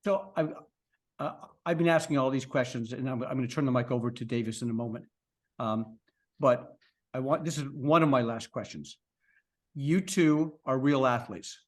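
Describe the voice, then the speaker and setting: A man's voice: mid-range voice, normal speed. A man interviewing guests on a podcast. He asks long, chatty questions and stammers through restarts. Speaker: podcast interviewer, league co-founder.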